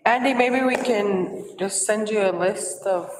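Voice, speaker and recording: Woman, council member, halting questions, roomy echo, faint hiss. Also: medium pitch, recorded from a distance. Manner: searching for words, questioning. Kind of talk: council meeting discussion. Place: large council chamber.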